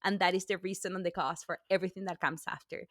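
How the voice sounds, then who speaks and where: A woman's voice: fairly low pitch, steady tone, quick pace, fairly bright tone, dry recording, studio quality. A middle-aged woman from Argentina, a native Spanish speaker, chatting as an author guest in a podcast interview, laughing often and restarting her sentences.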